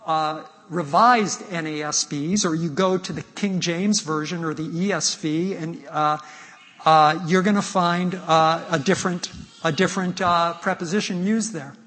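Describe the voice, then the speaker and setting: A man preaching an expository sermon as a pastor, emphatic and deliberate, repeating key points and posing rhetorical questions. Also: on the high side, steady tone, fairly bright tone. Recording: echoey hall with long reverb.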